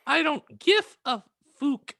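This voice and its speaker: A woman's voice: sits high, lively intonation, takes it slowly, creaky, fairly bright tone, dry recording, clean studio sound, full character voice. A woman voicing a crude, sullen teenage goth girl in a comedic fan fiction reading. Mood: sullen, brash.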